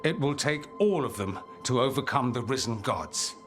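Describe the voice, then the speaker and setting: A defiant leader of a fantasy rebellion, played by a man, speaks in grand rhetorical lines. The pitch moves freely and theatrically, as in a game cutscene with music underneath. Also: lively intonation, moderate pace, lightly acted. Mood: resolute. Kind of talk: video game cutscene acting.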